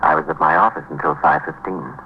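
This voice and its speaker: Very deep-voiced, shaken American businessman. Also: fairly even intonation. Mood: guarded, evasive. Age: middle-aged. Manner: hesitant, polite, halting answers. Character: shaken, evasive middle-aged married businessman under questioning. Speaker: murder suspect in a radio drama. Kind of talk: 1950s radio acting. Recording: muffled, faintly hissy 1950s radio recording.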